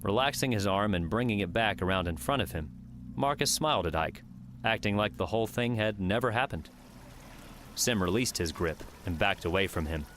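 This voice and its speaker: A man's voice: on the low side, lively intonation, moderate pace, fairly bright tone, slight background noise. A man reading scripted fiction narration as an audiobook narrator.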